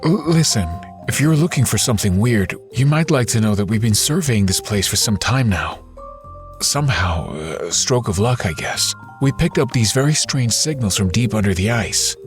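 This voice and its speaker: A man's voice: fairly low pitch, expressive, normal speed, faint music behind, lightly acted. A man playing a modest, hesitant but helpful ice surveyor in a sci-fi audio drama. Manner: hesitant, self-effacing. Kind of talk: audio drama character acting.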